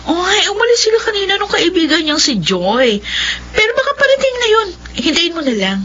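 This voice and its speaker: A woman's voice: sing-song, brisk, a bit bright, heavily acted. A woman playing a pushy, scheming cousin. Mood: coaxing. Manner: wheedling, theatrical, pitch swoops. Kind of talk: radio drama acting. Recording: dull lo-fi sound with faint noise.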